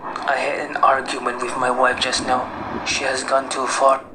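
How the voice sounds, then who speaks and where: A man's voice: mid-range voice, completely flat delivery, moderate pace, close to an everyday voice. A man playing a short-tempered, lazy, self-righteous husband in an amateur radio drama, irritated and domineering. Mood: indignant. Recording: noisy amateur recording.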